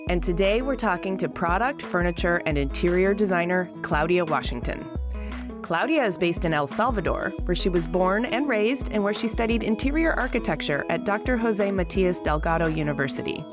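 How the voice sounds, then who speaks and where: A woman's voice: medium pitch, expressive, normal speed, music in the background. A woman hosting an interview podcast, asking curious, upbeat questions of a guest. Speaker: podcast host.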